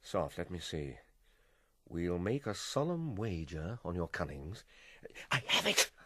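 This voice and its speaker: An actor playing a smooth, manipulative middle-aged king in a Shakespearean radio drama, with deliberate, theatrical delivery. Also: low-pitched, sing-song, very noisy. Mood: calculating.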